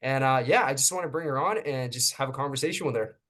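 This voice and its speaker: A man, a fitness coach, hosting a relaxed interview, speaking in a friendly, encouraging way with frequent fillers and restarts. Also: medium pitch, fast talker. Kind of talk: casual interview.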